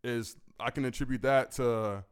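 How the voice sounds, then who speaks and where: A man in his twenties with a deep, gravelly voice, a musician answering fan questions in laid-back, profane casual talk. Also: steady tone, moderate pace. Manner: laid-back, profane, keeps asking "you know what I mean"; commanding, projecting delivery. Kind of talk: casual solo Q&A.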